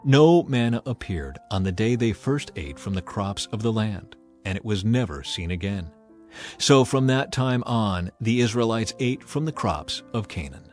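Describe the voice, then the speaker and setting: A man's voice: fairly low pitch, animated, normal speed, darkish tone. A man gives a solemn, declamatory narration in the style of a dramatized audio Bible. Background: background music.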